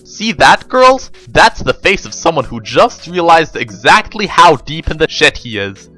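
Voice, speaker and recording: A man's voice: a little high, big pitch swings, unhurried, sharp tone, soft background music, pitched above the performer's own voice, full character voice. A man voicing a smug, foul-mouthed girl with a taunting, sarcastic manner in a story reading. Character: smug, triumphant, foul-mouthed girl who outwits others.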